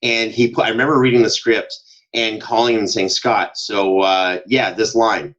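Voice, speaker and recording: A man speaking as a podcast guest in casual interview chat, telling anecdotes in an animated way and acting out exchanges. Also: fairly low pitch, normal speed, dull audio. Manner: animated storyteller, acts out quoted exchanges. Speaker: podcast guest, audio drama producer.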